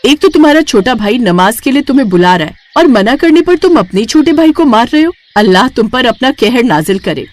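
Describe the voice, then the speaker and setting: A woman's voice: a little high, animated, brisk, hardly any pauses, a little mellow, voice pushed up, natural voice. A woman playing a poor, devout mother in a children's story, emphatic and dramatic as she scolds or pleads. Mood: angry. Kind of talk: children's animated moral story acting.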